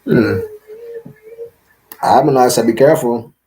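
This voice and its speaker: A young man hosting a casual livestream Q&A, rambling with lots of fillers and slang, his voice a little raspy from talking all day. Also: medium pitch, measured pace, mellow, rough recording. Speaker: livestream host answering chat.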